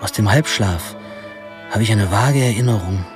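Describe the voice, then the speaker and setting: A man's voice: fairly low pitch, moderate pace, over music, natural voice. An actor narrating a radio drama as a wry young man looking back on his troubled teens, with a dry, ironic manner. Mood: wry, reflective.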